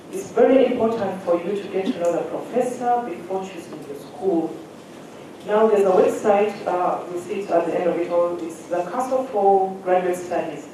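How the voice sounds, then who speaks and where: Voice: medium pitch, warm tone. Adviser in an echoey hall. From Uganda. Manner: direct, instructive, checks on the audience. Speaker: education adviser. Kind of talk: advice presentation to students. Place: large hall at a careers fair. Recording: echoey hall, distant mic, faint hum.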